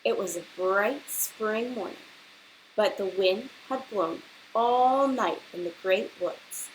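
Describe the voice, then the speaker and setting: A woman reads aloud to a class of children at an unhurried, slow pace. She explains and prompts like a teacher, over a faint bed of hiss. Her pitch sits in an ordinary female range. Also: rough recording. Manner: instructional, pausing to prompt young listeners. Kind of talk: picture-book read-aloud with questions to children.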